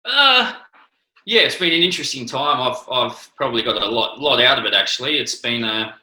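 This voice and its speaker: A man's voice: mid-range voice, expressive, fairly quick, pushed and bright, echoey room, rough recording. A man with an Australian accent answering interview questions, hesitant, with many restarts and ums. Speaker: interview guest, former cricketer.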